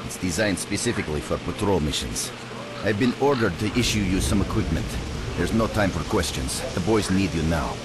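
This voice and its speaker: A man's voice: sits low, expressive, a little fast, loud music behind the voice. An actor playing a no-nonsense male military quartermaster with a curt, businesslike manner in a video game. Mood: brisk. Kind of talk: scripted video game dialogue.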